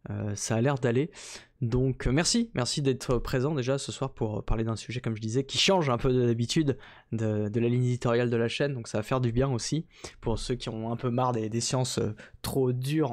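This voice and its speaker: A man's voice: mid-range voice, darkish tone. A man hosting a livestream, introducing his guest in a hesitant way with many fillers and restarts. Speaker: livestream host.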